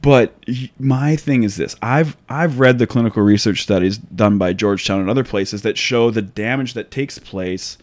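A man's voice: lowish voice, normal speed, hardly any pauses. An American man in a casual, opinionated discussion, speaking emphatically and often checking for agreement. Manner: emphatic, conversational, often asks for agreement with "right?".

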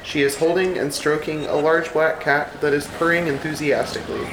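A man's voice: fairly high pitch, normal speed. A man reading scripted stage directions as narrator of a comedic radio play. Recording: echoey room, clear background noise.

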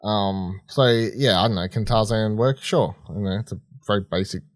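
A man co-hosting a film podcast in casual chat, halting and rambling as he thinks aloud. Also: on the low side, dry recording, studio quality. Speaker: podcast co-host.

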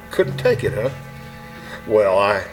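A man acting an animated, scheming, nervy radio-suspense man. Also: fairly low pitch, extremely slow, exaggerated voice, poor audio. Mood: weary. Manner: theatrical, coaxing, insistent. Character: scheming, nervy actor Martin Ames, morbid and insistent. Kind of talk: live stage re-creation of 1940s radio suspense. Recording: live performance recording with music behind.

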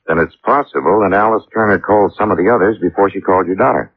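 Brisk, deep-voiced male official. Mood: brisk, probing. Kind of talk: old-time radio drama acting. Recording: noisy, tinny old radio recording.

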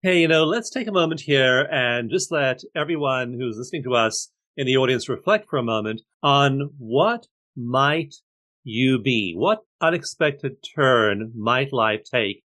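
Host: older man, calm reflective delivery, mid-range voice. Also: medium pitch. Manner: calm, inviting listeners to pause. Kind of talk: guided reflection.

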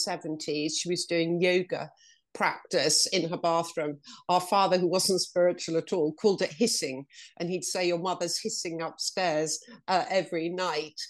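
Lower-pitched reflective woman guest. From Ireland. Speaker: intuitive energy reader, guest. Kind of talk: casual interview talk.

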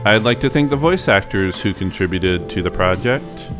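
A man's voice: fairly low pitch, animated, moderate pace, over music. A man hosting a podcast in a conversational presenting style. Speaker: podcast host.

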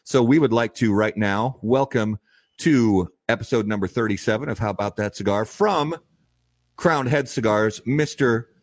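A man's voice: on the low side, normal speed, no room sound. A man hosting a cigar podcast from a studio, asking questions in an upbeat, friendly way. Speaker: podcast host. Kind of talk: casual podcast interview.

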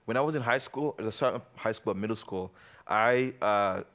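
Young male youth pastor, from Uganda, lively preaching, jokes, loud peaks and hushed asides. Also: lowish voice, moderate pace. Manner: animated and joking, swinging from loud to quiet. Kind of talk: sermon to a youth group.

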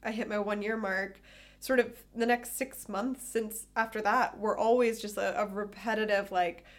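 A woman's voice: medium pitch, fairly even intonation, moderate pace. An American woman hosting a podcast in a casual, conversational interview style. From United States. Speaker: podcast host.

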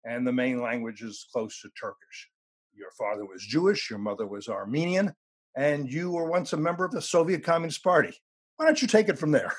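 A man hosting an interview, reading a formal introduction and then opening the talk in a measured way. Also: mid-range voice, breathy edge. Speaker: host. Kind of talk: interview host, scripted intro then chat.

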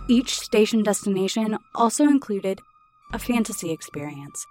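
An actress playing an informative space probe archive, giving measured scripted narration in a sci-fi audio drama. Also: female voice, mid-range voice, close to an everyday voice.

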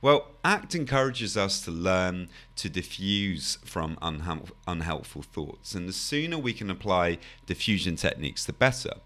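Low-voiced croaky man. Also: moderate pace, hardly any pauses. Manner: calm, explanatory, with frequent "you know" and small restarts. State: croaky, hoarse voice. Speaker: podcast host and anxiety coach. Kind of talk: solo podcast talk.

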